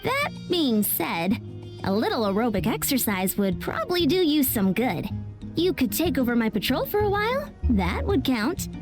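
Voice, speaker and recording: Game character: petite sporty girl, high and lively, self-assured, music behind. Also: moderate pace. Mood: friendly. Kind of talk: animated game voice acting.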